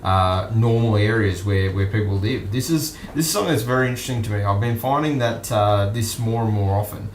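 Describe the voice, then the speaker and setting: An Australian man hosting an online news stream, commenting casually and off the cuff in a halting, musing way. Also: fairly low pitch, slight background noise. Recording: roomy echo with music behind.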